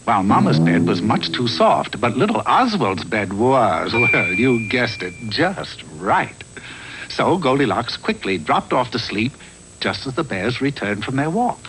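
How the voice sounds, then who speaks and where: A man's voice: mid-range voice, big pitch swings, a little slow, very noisy. A man narrating a comic fairy tale in a wry, theatrical storyteller's manner, from a script.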